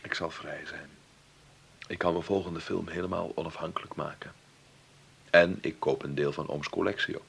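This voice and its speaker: Radio drama role: a mild, courteous male filmmaker and heir, deep and husky, conversational, slightly hesitant. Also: fairly even intonation, normal speed, a bit bright, heavily acted. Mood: pleased, chatty. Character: Karel Vlenderberg, gentle mild filmmaker, newly rich heir. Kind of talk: Dutch radio play acting.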